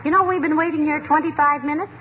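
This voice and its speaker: Woman playing high-voiced wry radio wife. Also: fairly even intonation, quick pace, narrow, tinny audio, lightly acted. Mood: wry, chiding. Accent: American. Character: sensible, wry wife of a grumbling husband. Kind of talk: 1940s radio comedy acting. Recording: scratchy, thin, hissy 1940s radio recording.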